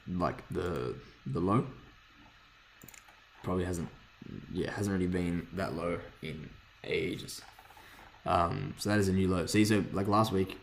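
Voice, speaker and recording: Man, hoarse, deep low voice, rambling, swears, faint background noise. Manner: rambling, swears freely, self-correcting. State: hoarse, lost voice from screaming. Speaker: forex trader reviewing charts. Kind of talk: casual thinking-aloud chart review.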